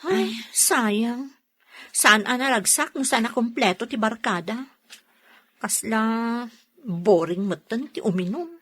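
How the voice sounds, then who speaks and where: Woman acting as gossipy young ilocano gal in a radio drama, teasing and chatty, highish voice. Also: lively intonation, slow, fairly bright tone, husky, full character voice. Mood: peeved.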